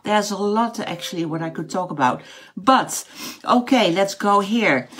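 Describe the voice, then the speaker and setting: A woman's voice: medium pitch, animated, measured pace, a bit bright, breathy edge. A middle-aged Dutch woman, speaking English with a Dutch accent, giving a casual online Bible teaching. She often searches for words, with fillers and restarts. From Netherlands. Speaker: house church teacher.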